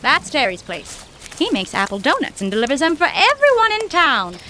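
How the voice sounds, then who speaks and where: A bright, high-voiced small-town woman, acted by a woman for an audio drama. She is plucky and folksy, with old-fashioned slang and a very animated melody, over faint background noise. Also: moderate pace, exaggerated voice. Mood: breezy, teasing. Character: plucky, brisk small-town woman, Sonya, loyal but alarmed.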